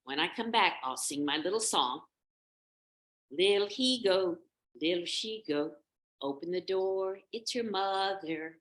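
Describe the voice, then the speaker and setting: Storybook nanny goat, female, motherly and careful, done by a woman; singsong instructions, lively intonation. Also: sits low, fairly quick, few pauses. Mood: fond instructing.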